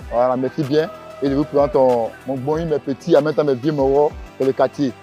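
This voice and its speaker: A man with an Ivorian accent, an amateur fighter, introducing himself to camera in a halting, simple way. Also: mid-range voice, steady tone, dark tone, faint music behind. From Ivory Coast.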